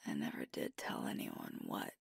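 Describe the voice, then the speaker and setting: A woman voicing a sweet, tender werewolf girlfriend in an ASMR roleplay, speaking in a soft, breathy whisper with gentle, intimate storytelling. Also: normal speed, no echo, studio quality, natural voice. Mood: soft confiding.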